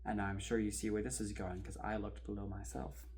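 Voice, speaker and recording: A man's voice: on the low side, monotone, slight background noise, natural voice. Man acting as a wry, chatty storm survivor. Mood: hushed dread. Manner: wry, informal, halting for suspense, swelling then hushed. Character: chatty kayak rescuer recounting an eerie near-drowning. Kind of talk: conversational horror monologue, animated storytelling.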